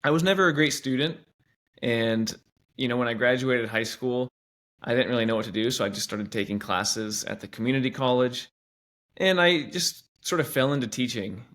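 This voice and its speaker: A man's voice: medium pitch, normal speed, fairly bright tone. A man in his thirties from Washington State, a teacher answering interview questions earnestly and with many restarts. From Washington State, USA. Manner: earnest, many restarts and "you know" asides. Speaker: teacher and guest.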